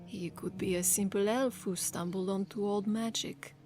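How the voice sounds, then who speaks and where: A woman's voice: mid-range voice, fairly even intonation, normal speed, darkish tone, close to an everyday voice. Lady putting on a fancy french-accented bard dude, lazy drawl, kinda smug. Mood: arch, dismissive. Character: silky foppish male bard in a mask.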